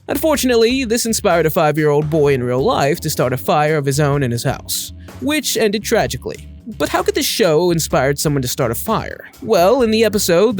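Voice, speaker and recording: A man narrates a scripted video in a fairly high voice with lively intonation. His delivery is clearly enunciated, like someone telling a story, and music plays behind him. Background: background music.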